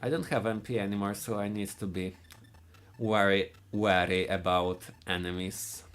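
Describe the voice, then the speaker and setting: A man from Poland with a Polish accent, giving live speedrun commentary in a halting way, often restarting his sentences. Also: on the low side, steady tone, a bit bright. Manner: halting, searching for words, frequent restarts.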